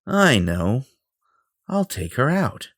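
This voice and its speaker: A man's voice: mid-range voice, very animated, takes it slowly, a bit bright, no room sound, studio quality, exaggerated voice. A man playing a vain, possessive superhero in an audio roleplay, theatrical and self-important, with a flirtatious streak. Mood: scheming, smug.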